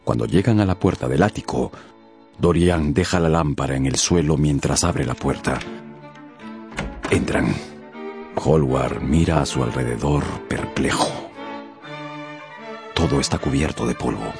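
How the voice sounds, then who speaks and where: A man's voice: rumbling low, measured pace, gravelly, pauses often. A man narrating a literary radio drama in a scripted, dramatic storytelling style. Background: music.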